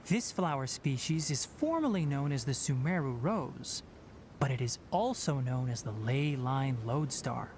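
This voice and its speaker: A man's voice: mid-range voice, lively intonation, a little slow, noisy background. An actor voicing a young, fox-eared male forest ranger in a video game, who talks in a precise, explanatory way. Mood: explaining. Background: game music.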